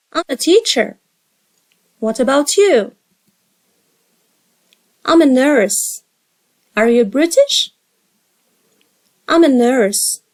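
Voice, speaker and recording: Slow, high-voiced woman teaching English. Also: darkish tone. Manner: slow, carefully spaced drill reading. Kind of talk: repeat-after-me dialogue drill.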